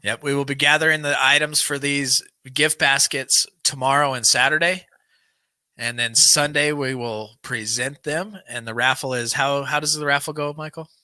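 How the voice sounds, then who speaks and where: A man's voice: medium pitch, fairly even intonation, normal speed, sharp tone, no echo. A man hosting a podcast, reading aloud and chatting earnestly, his voice worn and dry. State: losing his voice, dry throat. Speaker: podcast host. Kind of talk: reading a book aloud, then casual chat. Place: small home studio with banner backdrop. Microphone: large studio mic on boom arm.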